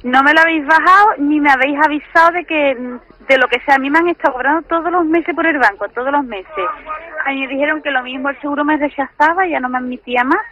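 A woman's voice: high-pitched, quick pace, bright, forceful tone. A woman of about thirty, arguing defensively and indignantly on a phone call. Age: 30s. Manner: indignant, argumentative.

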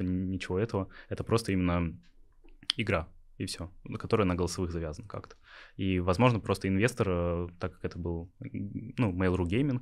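A young man from Yakutia, a startup founder, answering interview questions hesitantly with many filler sounds. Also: deep voice. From Yakutia, Russia. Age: young adult.

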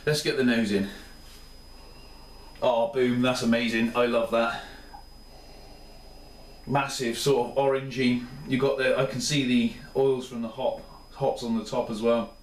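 A man's voice: mid-range voice, level tone, unhurried, recorded from a distance, a little hiss or hum. Tired, chatty man, roomy kitchen. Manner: chatty, rambling, enthusiastic. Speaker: beer reviewer. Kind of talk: casual solo review. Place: small home kitchen, indoors. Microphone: camera mic at a few steps. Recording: roomy, off-mic home camera sound.

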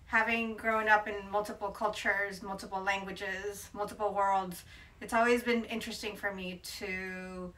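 A woman's voice: mid-range voice, bright, forceful tone, distant mic, poor audio. A woman in her forties from Colombia, answering interview questions thoughtfully with frequent hesitations. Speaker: interviewed photographer. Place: bright room by a window, indoors.

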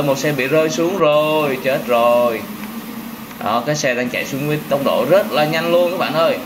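A young man speaks in a slightly high voice over a noticeably noisy recording. He narrates a vehicle game for children in simple, repeated phrases, full of exclamations. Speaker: host of a children's gaming channel.

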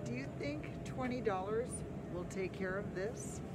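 Animated American woman over clear background noise. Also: mid-range voice, measured pace. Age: middle-aged. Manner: animated, addressing children directly. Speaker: teacher. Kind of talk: casual video lesson to students. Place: inside a car, then a grocery store. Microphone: phone at arm's length. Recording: noisy car and store ambience.

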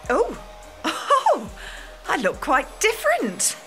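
An actress playing an anxious, apologetic British wife at the hairdresser's in an audio play, hesitant and flustered. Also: female voice, sits high, huge pitch swings, slow pace, over music, heavily acted. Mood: flustered delight.

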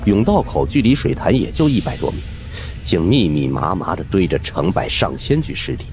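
Very deep-voiced man narrating a suspense tale. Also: normal speed, slight background noise. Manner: storytelling, expressive. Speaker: audiobook narrator. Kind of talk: dramatic scripted narration of a suspense horror novel.

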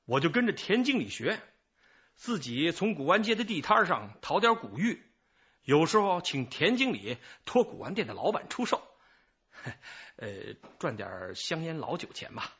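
Radio drama part: middle-aged male informant, high bright voice, wordy, evasive, self-excusing. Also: sing-song, a little slow, slightly rough, muffled recording, full character voice. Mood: sheepish, self-excusing. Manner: chatty, rambling, sighing, hedging.

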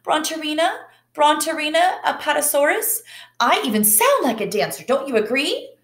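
A hopeful young female dinosaur from a picture book, acted out by a woman reading aloud. The voice is high and lilting, with an earnest, dreamy sweetness. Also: expressive, moderate pace, a bit bright, reverberant.

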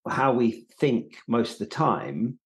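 A middle-aged British man explaining like a teacher in conversation, halting and repeating words as he goes. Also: medium pitch, very animated. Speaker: acting teacher, podcast host. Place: indoor room on a video call.

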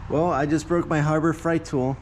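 A man's voice: fairly high pitch, fairly even intonation, moderate pace, a little mellow, a little hiss or hum. A man casually narrating a hands-on tool demo to viewers while working on a car in a garage, recorded on a head-mounted camera. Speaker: vlogger reviewing a tool. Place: garage with a car, door open to outdoors.

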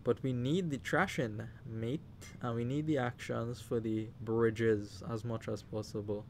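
A man's voice: medium pitch, unhurried, a little hiss or hum. A man with a Trinidadian accent giving live commentary on a card game, talking to the players and cheering at big plays. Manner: animated, talks to the players, shouts when excited.

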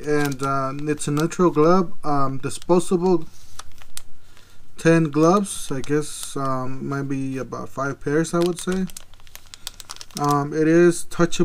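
A man's voice: mid-range voice, a little hiss or hum. A man in his thirties casually reviewing a product at an office desk, rambling with lots of ums and restarts. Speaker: tool reviewer. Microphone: clip-on camera mic.

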